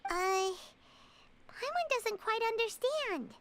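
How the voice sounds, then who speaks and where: A woman voicing a small, childlike, excitable female fairy companion in an anime-style game, squeaky and given to speaking of herself in the third person. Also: slow, heavy background noise, exaggerated voice. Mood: puzzled. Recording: music behind the voice.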